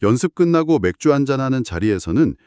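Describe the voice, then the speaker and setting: A man's voice: medium pitch, very animated, fast talker, warm tone, no room sound, clean studio sound. A man retelling a listener's story on a radio-book show, acting out the quoted lines as he goes.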